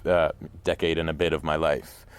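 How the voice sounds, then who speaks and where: A man from New York, an actor giving a reflective interview in a halting, thoughtful way. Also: extremely low pitch, little pitch movement, clean tone, dry recording. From New York, United States. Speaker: actor interviewed about his part.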